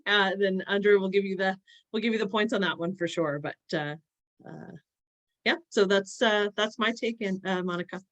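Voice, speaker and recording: A woman speaking as a panelist in an online discussion, earnest and halting, with frequent fillers and restarts. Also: medium pitch, a bit bright.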